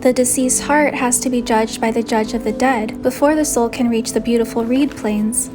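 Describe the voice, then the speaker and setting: A woman's voice: fairly high pitch, fairly even intonation, normal speed, music in the background, lightly acted. A woman playing a shy, curious young heroine in a game story, speaking her dialogue and inner thoughts. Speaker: voice of the player heroine, fan narration. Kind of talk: acted visual novel dialogue and inner monologue.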